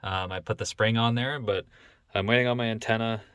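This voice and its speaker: A man with a low-pitched voice talks through hands-on work as a DIY host. His delivery is level, with little melodic movement, and he lays out each step plainly and casually in a matter-of-fact how-to style. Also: fairly even intonation, fairly quick, a bit bright, smooth, dry recording, studio quality. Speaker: DIY vehicle mechanic, video host.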